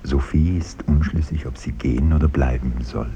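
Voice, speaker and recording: A man's voice: very deep voice, moderate pace, mellow, highs cut off, slight background noise. A man narrating a scripted radio drama, reading stage action in the present tense.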